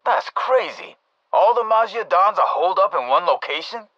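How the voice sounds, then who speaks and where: A man's voice: high voice, animated, moderate pace, bright, forceful tone, no echo, lo-fi sound, heavily acted. A man playing a cocky, streetwise American mob thug in a video game, heard through a radio-like filter. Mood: gloating. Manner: streetwise slang.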